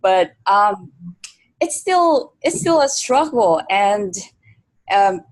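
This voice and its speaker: Slow-talking woman interviewer. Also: medium pitch, very animated, low-quality recording. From Vietnam. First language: Vietnamese. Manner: hesitant, many fillers. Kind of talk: conversational interview.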